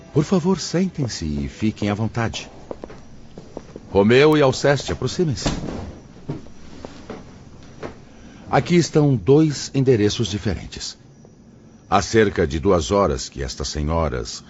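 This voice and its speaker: A man's voice: deep voice, big pitch swings, unhurried, darkish tone, soft background music, exaggerated voice. A man playing a kindly, solemn spirit master in a Brazilian audio drama, speaking formally and paternally with a Brazilian accent. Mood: calm authority. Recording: muffled, noticeable background hiss.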